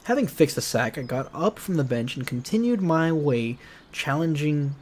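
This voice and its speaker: Expressive man reading a game aloud. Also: fairly high pitch, normal speed, a little hiss or hum. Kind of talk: visual novel read-aloud with casual asides. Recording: music behind.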